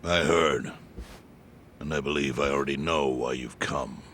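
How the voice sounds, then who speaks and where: A man voicing a calm, all-knowing clan chairman who speaks in a measured, grave way. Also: very deep voice, a little hiss or hum.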